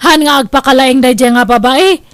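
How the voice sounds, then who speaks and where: A young Filipino woman, jilted and stubborn, acted by a woman, in a comedy drama. She speaks in a very high voice with a plaintive, insistent manner. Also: normal speed, close to an everyday voice. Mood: aggrieved, insistent.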